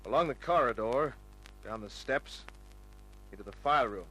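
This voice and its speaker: Wry hard-boiled radio private eye. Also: male voice, mid-range voice, expressive, normal speed, bright, forceful tone, rough recording. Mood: wry narrating. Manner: wry, dry, smooth-talking. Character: cocky wisecracking male private detective, narrating his own case. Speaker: actor narrating and playing the lead. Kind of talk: hard-boiled old-time radio detective drama. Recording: old radio recording with music.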